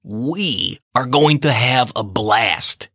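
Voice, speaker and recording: A man's voice: medium pitch, lively intonation, sharp tone, breathy edge, dry recording, studio quality, heavily acted. A man voicing a scruffy, reckless, cheerful barn cat with a scratchy voice for a children's storybook. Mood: brash, excited. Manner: drawn-out, cackling delivery.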